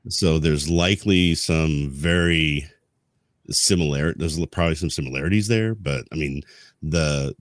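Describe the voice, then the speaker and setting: A man speaking as a podcast co-host in a casual history discussion, explaining things in a halting, rambling way. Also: a bass voice, dry recording, studio quality.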